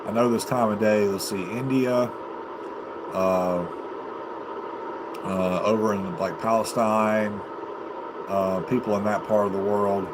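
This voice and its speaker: An American man chatting casually on a livestream, rambling in a halting, unhurried way as he thinks aloud. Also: fairly low pitch. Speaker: amateur livestreamer and CB radio hobbyist. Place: home basement. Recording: loud steady background noise.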